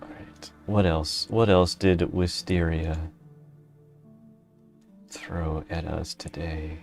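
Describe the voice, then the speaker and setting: A man's voice: low-pitched, steady tone, creaky, darkish tone, faint music behind. A man co-hosting a casual live stream, laughing often and reading chat and articles aloud. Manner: laid-back, laughing often, reads aloud haltingly. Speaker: streamer co-host.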